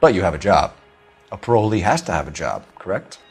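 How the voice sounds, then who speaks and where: An actor playing a composed American 1940s police detective in a video game, asking questions in a clipped, formal way. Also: male voice, low voice, big pitch swings, a little fast, slightly rough, faint music behind, full character voice. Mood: accusing. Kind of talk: cinematic video game acting.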